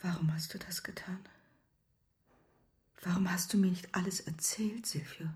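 An actress playing a grieving, tender woman in an audio drama, pleading haltingly in breathy half-whispers. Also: on the low side, steady tone, darkish tone, natural voice. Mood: anguished, tender. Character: grieving, tender woman reproaching a beloved young man. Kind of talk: intimate audio drama acting.

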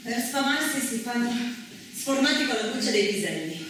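A woman's voice: a little high, expressive, moderate pace, lightly acted. An actress playing a wartime woman recounting hardship, in emphatic theatrical scripted narration. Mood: matter-of-fact, grave. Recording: echoey room, distant mic, faint noise.

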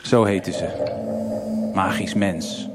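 An actor playing a weary, haunted middle-aged detective in a noir radio drama, muttering and halting as he talks. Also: low-pitched, fairly even intonation. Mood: wistful. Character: weary, haunted older male detective confessing his past. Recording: music behind the voice. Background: live musical accompaniment with guitar.

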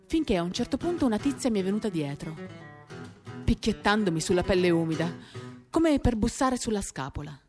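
A woman performing an expressive, theatrical first-person literary reading for radio, moving between animated and hushed delivery. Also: mid-range voice, fairly quick. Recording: acoustic guitar accompaniment. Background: guitar music.

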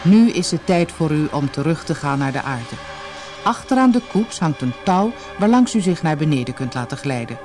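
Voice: medium pitch, very animated, a little mellow, faint music behind, close to an everyday voice. A narrator voicing a thin male thunder spirit who speaks formally, solemnly and courteously in a fairy tale audiobook. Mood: courteous, explaining. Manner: formal, measured announcements.

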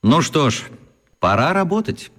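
A man's voice: a little high, very animated, unhurried, a bit bright, heavily acted. An actor playing a polite, composed middle-aged father who speaks formally in a radio play.

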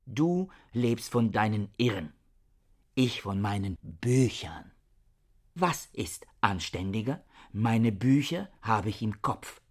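An actor in a radio play playing a cold, obsessive, misogynist scholar who talks in a pedantic, declamatory way. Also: male voice, on the low side, husky, full character voice. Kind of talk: radio drama acting, literary dialogue.

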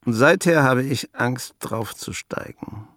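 A man's voice: medium pitch, slow pace, a bit bright, no echo, studio quality. An actor playing a reclusive, awkward old grandfather in a German radio drama, hesitant and reflective with a wry edge. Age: 60s or older. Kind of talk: German radio drama acting, dialogue and inner monologue.

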